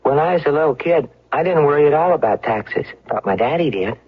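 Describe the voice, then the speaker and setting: A man's voice: fairly high pitch, expressive, brisk, slightly rough. A man with an American accent delivering a folksy first-person monologue in a radio advertisement. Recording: dull old radio broadcast.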